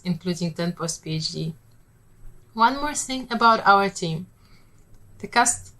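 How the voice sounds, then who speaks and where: A woman with a Polish accent, a startup founder giving a rehearsed pitch in a measured, steady way. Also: rough recording.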